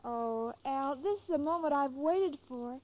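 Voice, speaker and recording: A woman's voice: high-pitched, lively intonation, unhurried. An actress playing a sweet, naive, gushing young girlfriend in a 1940s radio comedy. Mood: adoring. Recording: muffled, noisy 1940s radio broadcast.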